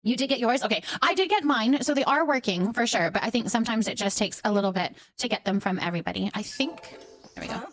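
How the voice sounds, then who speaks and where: A woman's voice: a little high, fast, a bit bright, dry recording. A woman livestreaming a game, chatting casually with viewers and thinking aloud as she goes.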